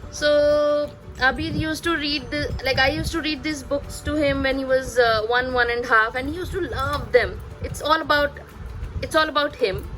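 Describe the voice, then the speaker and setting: A woman in her thirties, a native Bengali speaker, chatting hesitantly as a parenting vlogger, pausing and repeating words. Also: high-pitched, steady tone, fairly bright tone, soft background music, poor audio. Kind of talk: casual chatty vlog recommending books.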